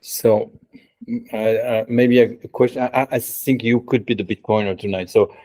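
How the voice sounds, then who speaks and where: A man's voice: lowish voice, steady tone, moderate pace, dark tone. A man moderating a panel discussion at an evening event, with brief questions and restarts.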